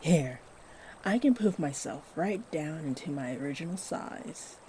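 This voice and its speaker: A kindly, doting fairy played by a woman speaks to the listener in a fantasy audio roleplay. Her voice is mellow and unhurried, swooping expressively as she gently fusses and encourages. A faint wash of stream ambience sits behind her. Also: low-pitched, expressive, slight background noise. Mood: fond, playful. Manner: gentle, encouraging, fussing, with sweet endearments. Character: kindly, doting fairy who gives magical makeovers.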